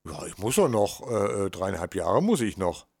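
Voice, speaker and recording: A man's voice: low-pitched, big pitch swings, normal speed, a little mellow, breathy edge. An older man in casual chat, grumbling and complaining, with frequent hesitations and fillers. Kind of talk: casual chat between two older workers.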